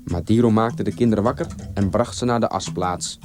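A man narrating a scripted folktale as a storyteller, with expressive, theatrical delivery. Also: lowish voice, brisk, over music.

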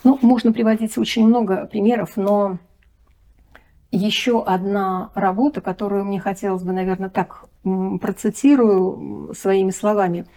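A woman's voice: medium pitch, steady tone, moderate pace, mellow. A woman on a radio discussion who presents a student project, speaking in a hesitant, thoughtful way with many fillers. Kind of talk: radio discussion, reading and retelling texts.

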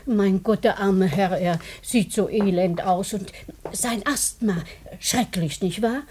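A woman's voice: mid-range voice, takes it slowly, darkish tone, a little hiss or hum, natural voice. An actress in a radio play playing a chatty, sympathetic female landlady.

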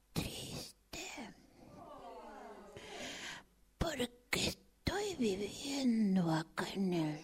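Woman doing a slow, creaky, lonely old tortoise. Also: mid-range voice, darkish tone, heavily acted. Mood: sad, plaintive. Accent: Rioplatense Spanish. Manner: halting, plaintive, rambling, breathy drops into near-whisper. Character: lonely old male tortoise abandoned at a closed zoo. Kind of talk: improvised radio-theatre character monologue.

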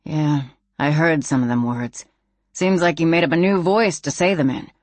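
A tough frontier woman with a gun, acted out by an audiobook narrator. She speaks plainly and wryly, in folksy, ungrammatical turns. Her pitch is moderate and the sound is dry. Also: normal speed, no room sound, lightly acted. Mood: wry, probing.

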